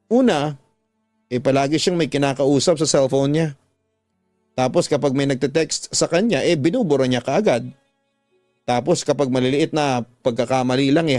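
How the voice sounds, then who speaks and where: A man's voice: medium pitch, normal speed, long pauses, a little mellow, no echo, faint music behind, lightly acted. Man voicing a troubled young woman, earnest and plaintive. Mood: hurt, pleading. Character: troubled young live-in woman confiding her heartache.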